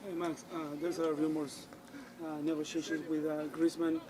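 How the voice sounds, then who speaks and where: Flat-toned reporter amid crowd. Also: male voice, fairly high pitch, normal speed, rough recording. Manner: hesitant, searching for words. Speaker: sports reporter. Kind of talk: press question.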